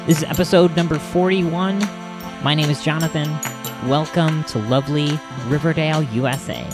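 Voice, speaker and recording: A man hosting a podcast, introducing the episode in a casual, chatty way with drawn-out emphasis. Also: a little high, over music. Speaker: podcast host.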